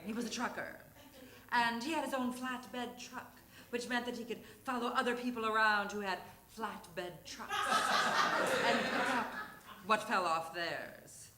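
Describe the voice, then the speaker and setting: Woman doing a live funny story reading, dramatic pauses, echoey room with noise. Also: on the high side. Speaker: reader of a humorous memoir story. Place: theatre stage before an audience. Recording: roomy, noisy live stage recording.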